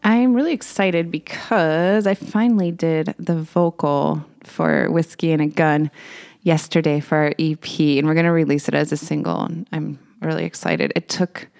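A singer-songwriter woman, a little raspy today, chatting casually between songs and telling rambling stories with fillers. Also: deep voice, normal speed. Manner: rambling, warm storytelling with fillers.

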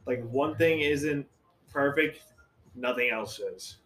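A man's voice: mid-range voice, slow pace, a bit bright, a little hiss or hum. A young man chatting bluntly and swearing a lot in casual podcast talk. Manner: profane, blunt, teasing. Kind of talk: casual chat.

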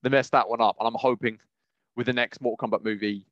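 A man's voice: medium pitch, fairly even intonation, fast talker, no echo, dull audio. A man hosting a podcast, chatting casually and opinionatedly in a rambling, emphatic way, often repeating phrases. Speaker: podcast host. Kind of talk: casual opinionated chat about films.

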